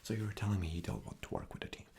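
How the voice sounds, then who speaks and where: A man's voice: low voice, big pitch swings, racing, gravelly, a little mellow, slight background noise, full character voice. A man doing an ASMR roleplay as an arrogant, impatient, rude boss, with a condescending, demanding manner. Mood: impatient, scornful. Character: arrogant, impatient, rude male boss interviewing a job applicant.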